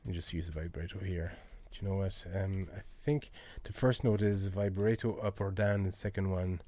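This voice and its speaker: A low-voiced man chatting casually as he works through a live music-making tutorial, halting and thinking aloud. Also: steady tone, warm tone. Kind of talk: casual tutorial chat while working. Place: indoors at a computer.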